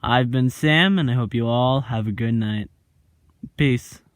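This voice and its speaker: A man hosting his own online video channel, explaining horror videos in a relaxed, jokey way and reading on-screen text aloud. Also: medium pitch, measured pace, noisy background. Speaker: YouTube host. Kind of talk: casual video commentary.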